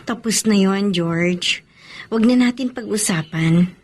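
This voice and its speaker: An actress playing a gentle, resolute bride-to-be in a radio drama, speaking in a composed, sincere and measured way. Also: female voice, mid-range voice, husky. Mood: gently firm. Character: gentle, resolute bride-to-be forgiving her former love. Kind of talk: Filipino radio drama acting. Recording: faint music behind the voice.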